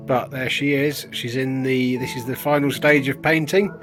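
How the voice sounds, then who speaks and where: A man's voice: mid-range voice, steady tone, normal speed, a bit bright, soft background music. A British man hosting a casual vlog, chatting informally and playfully, often as if thinking aloud. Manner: informal, playful, talks to himself. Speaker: vlogger, livestream host.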